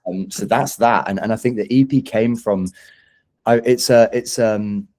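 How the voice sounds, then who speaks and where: A man's voice: fairly low pitch, a little slow, dark tone. A young man with a London English accent chatting casually as a musician in an interview, enthusiastic and rambling in an informal way.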